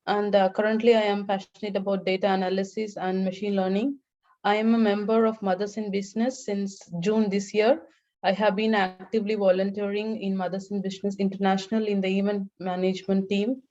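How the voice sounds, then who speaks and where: Co-host, woman from India; mellow, measured delivery with pauses, over a muffled lo-fi call line. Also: medium pitch. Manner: measured, with frequent hesitations. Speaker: webinar co-host. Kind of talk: reading organisation introduction. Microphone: webinar video call.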